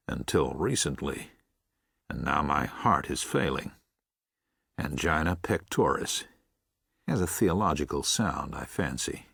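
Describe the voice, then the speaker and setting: An actor playing a frail, gentle old preacher in a radio drama, reminiscing in a ruminative, gently wry way. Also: male voice, sits low, gravelly, dry recording, studio quality, heavily acted. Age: older. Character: frail, gentle, reflective elderly preacher, fond father. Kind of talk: radio drama, intimate first-person reminiscence.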